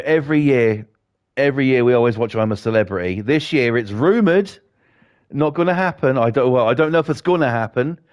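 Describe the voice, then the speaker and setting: A middle-aged British man chatting and ranting with lots of swearing while he plays a game and talks to viewers, his voice a bit croaky. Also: medium pitch, a little mellow. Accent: British English. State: slightly croaky. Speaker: gaming livestreamer. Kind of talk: casual chat while playing a game.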